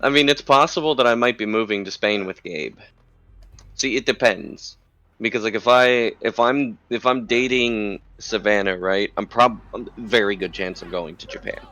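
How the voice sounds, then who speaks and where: Chatty man, low pitch, bright forward tone. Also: normal speed, dry recording. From United States. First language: English. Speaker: guest chatting with the streamer. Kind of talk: casual chat.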